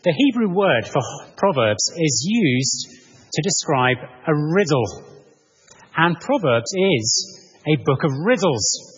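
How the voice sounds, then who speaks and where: A man preaching an expository sermon in a measured, explanatory manner, posing rhetorical questions to his listeners. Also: a little high, very animated, slightly rough. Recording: muffled, reverberant large hall.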